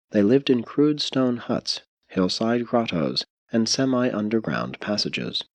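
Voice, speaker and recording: Low, expressive male audiobook narrator, slightly husky. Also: dry recording. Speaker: audiobook reader.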